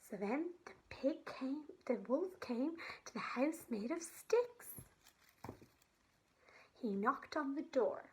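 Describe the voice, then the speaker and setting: A woman telling a story to young children, in simple phrases with pauses for her listeners. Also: high voice.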